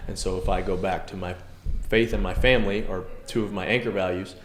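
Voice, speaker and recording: Earnest male co-host with a big, loud voice in a roomy space. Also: deep voice, brisk. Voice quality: loud, carrying voice. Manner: earnest, energetic, self-reflective. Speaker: podcast co-host. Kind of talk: casual devotional discussion. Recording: echoey room with faint noise.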